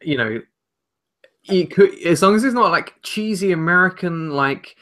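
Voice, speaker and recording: A man's voice: high-pitched, moderate pace, bright, forceful tone. A man in his twenties chatting casually as a podcast co-host, feeling his way through his points a little hesitantly. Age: 20s. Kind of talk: casual chat. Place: home room, indoors.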